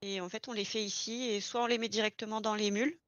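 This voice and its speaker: A woman's voice: medium pitch, fairly even intonation, quick pace, dry recording, lo-fi sound. A woman explaining things step by step in an informal, casual roleplay chat. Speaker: experienced garage employee training a new recruit in online roleplay.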